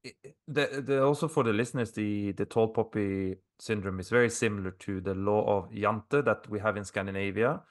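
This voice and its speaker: A man with a Norwegian accent hosts a casual interview. His voice sits a little low, and he talks conversationally as he puts points and questions to his guest. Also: a little fast, hardly any pauses. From Norway. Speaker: podcast host.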